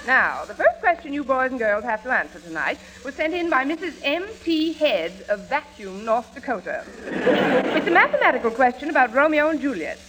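A woman's voice: high voice, sing-song, moderate pace, a crowd in the background, low-quality recording. A woman playing an impatient, sarcastic schoolteacher in a 1940s radio comedy. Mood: bossy. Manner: sarcastic, scolding. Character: bossy, impatient schoolteacher quizzing children.

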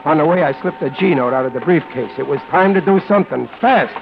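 A man's voice: fairly high pitch, fast, vocal fry, background noise. Wry, nervous guy on tinny old radio. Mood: wry, weary. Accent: American. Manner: slangy, wry, stammering. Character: nervous ordinary wisecracking guy narrating his crime jam. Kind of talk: 1940s radio acting, hardboiled first-person narration. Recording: tinny old radio broadcast with loud music.

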